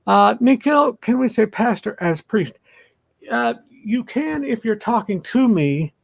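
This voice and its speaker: Older man, retired pastor, high pitch, gravelly, dry sound, hesitant casual talk. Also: animated, unhurried, no echo. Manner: rambling, hesitant, many fillers. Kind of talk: casual online chat.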